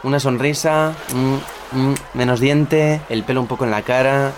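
Actor doing chill playful young spanish guy, mid pitch, casual chat, music behind. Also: steady tone, a little slow, close to an everyday voice. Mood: playful, coaxing. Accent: Spain. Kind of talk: casual radio drama dialogue.

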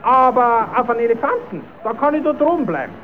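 An actor playing a naive, cheeky, quarrelsome puppet-show rascal with a southern German accent in an old radio play. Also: male voice, extremely high pitch, big pitch swings, quick pace, a little hiss or hum. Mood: naive curious. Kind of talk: old radio play, comic dialect acting. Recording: tinny, narrow-band old radio recording.

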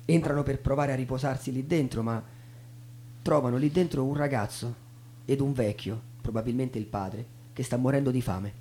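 A man's voice: medium pitch, moderate pace, a little hiss or hum. A man narrating in a theatrical spoken storytelling style, as in a stage show. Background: soft guitar music.

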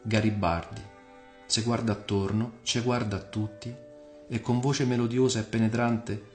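A man acts out an awestruck Roman patriot in a radio story. He speaks with a Roman accent, in emphatic, vivid colloquial phrases. The low voice rings with echo over soft music. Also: level tone, moderate pace, lightly acted. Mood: awed, excited. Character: Nino, fervent young Roman patriot, talkative and awestruck.

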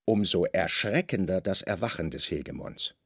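Gravelly male radio drama narrator. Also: low-pitched, expressive, moderate pace, a bit bright, no room sound, studio quality.

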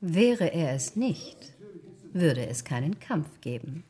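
An actress in a German radio drama playing a quick-witted, flirtatious duchess with a poised, sparring manner. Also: female voice, deep voice, big pitch swings, dark tone, exaggerated voice. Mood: arch, playful.

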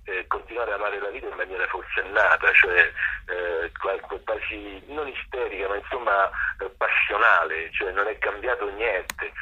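Older man, very husky, on the phone. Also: low voice. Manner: halting, searching, with repeated words and pauses. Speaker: stage actor. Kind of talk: reflective radio interview answers. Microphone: telephone. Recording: rough, harsh telephone line.

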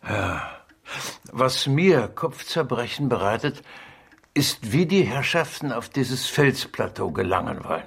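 An actor playing a genteel, pondering gentleman in a German radio drama, husky and measured. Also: mid-range voice, big pitch swings, full character voice. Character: pondering, genteel English gentleman reading the news.

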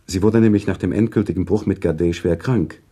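An actor playing an earnest, sentimental author who tells a story in a radio play, with deliberate, expressive literary delivery. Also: male voice, low voice, slightly rough. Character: male author narrator recounting a sad love story, earnest and sentimental. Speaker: narrator.

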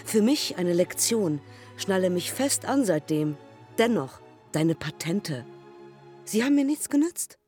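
A woman's voice: fairly low pitch, sing-song, measured pace, a little mellow, faint music behind. An actress playing a daring, intense young woman pilot who speaks in terse, staccato fragments, in a German radio play. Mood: reflective.

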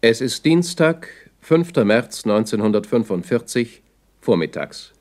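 A man's voice: medium pitch, big pitch swings, a little slow, darkish tone. A man narrating a 1960s German radio play as a sober chronicler, with formal scripted announcing.

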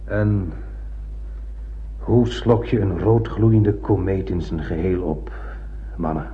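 A grandiose, eccentric male space captain, speaking very slowly in a lowish voice with theatrical authority. The recording is dull and muffled. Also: a little hiss or hum. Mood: testing, grandiose. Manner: theatrical, deliberate, quizzing his crew. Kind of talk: radio drama acting. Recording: muffled old radio play.

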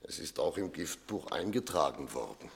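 An actor playing a composed, probing police inspector in a 1960s German radio play, speaking in a measured, formal way. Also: male voice, extremely low pitch, very animated, gravelly, heavily acted. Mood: matter-of-fact.

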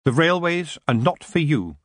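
A man's voice: on the high side, very animated, moderate pace, no room sound, clean studio sound, voice pushed up. A man voicing a young girl witch, speaking firmly and earnestly. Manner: firm, earnest, commanding. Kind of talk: audiobook character voice.

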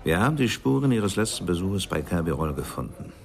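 An actor playing a shrewd police inspector, genial but probing, in a radio crime drama with some noise behind. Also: male voice, low voice, sing-song, fairly quick, vocal fry, heavily acted. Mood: probing, confident. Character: shrewd, jovial male police inspector, mock-stern.

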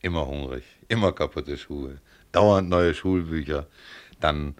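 Middle-aged tram driver, very low pitch, modest, proud family man, plain-spoken, radio play. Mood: chatty, hopeful. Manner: plain-spoken, unhurried, chatty. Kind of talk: German radio play acting, conversational monologue.

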